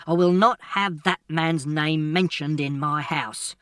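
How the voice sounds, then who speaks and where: A man's voice: a little high, animated, moderate pace, no room sound, recorded from a distance, natural voice. A man acting out a stern father at the end of his patience. He barks short, commanding orders in a slightly raised voice. The sound is dry and heard from a little way off the mic. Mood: shouting. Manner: angry, commanding, clipped. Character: stern exasperated father scolding his son.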